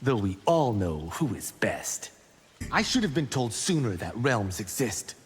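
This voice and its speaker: A man's voice: mid-range voice, very animated, a little slow, slightly rough, full character voice. An actor playing a proud young male Shaolin warrior in a video game, brash and boastful, with long reverb. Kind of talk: video game character dialogue. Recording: long reverb, faint noise.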